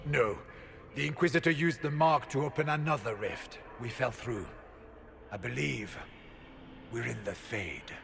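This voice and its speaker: A man playing a grave, steady, cautious warrior with a gravelly voice in a fantasy game. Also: mid-range voice, unhurried. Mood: grave, urgent. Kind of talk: dramatic fantasy game acting.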